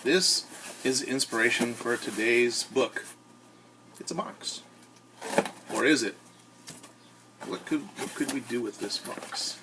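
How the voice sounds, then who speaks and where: A man with a mid-pitched voice reads a children's story as a teacher would. He is expressive and speaks straight to his young listeners with questions and asides. There is some light noise in the recording. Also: takes it slowly, long pauses.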